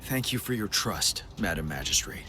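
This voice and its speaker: An actor playing a composed, resolute army general in a game cutscene, speaking in a measured, formal, commanding way. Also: male voice, sits low, lively intonation, sharp tone, faint music behind. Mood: resolute, calm. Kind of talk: dramatic game cutscene acting.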